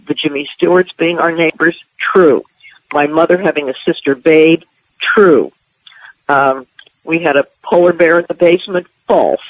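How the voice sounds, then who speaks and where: A woman's voice: low-pitched, unhurried, dry recording, low-quality recording. An older American woman reminiscing as an interview guest.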